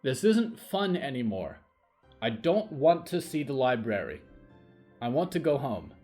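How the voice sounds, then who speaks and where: A man's voice: medium pitch, big pitch swings, unhurried, darkish tone, faint music behind. A man voicing a grumpy, stubborn young boy in an audio horror story, with folksy, reluctant grumbling. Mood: grumpy, fed up.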